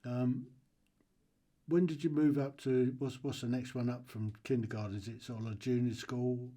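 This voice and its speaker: Man, podcast host, mellow voice, relaxed, hesitant questions. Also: medium pitch, normal speed. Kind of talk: casual interview.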